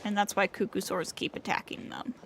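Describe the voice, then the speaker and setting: A woman streamer giving casual live commentary on a game, thinking aloud in a halting way. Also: medium pitch, fairly even intonation, vocal fry, slight background noise.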